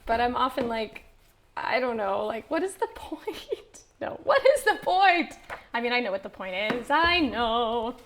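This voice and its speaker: High-voiced woman chatting slowly. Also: big pitch swings, fairly bright tone, a little hiss or hum. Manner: chatty, self-mocking, laughs often. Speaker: singer streaming vocal practice. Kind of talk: casual chat while practicing singing.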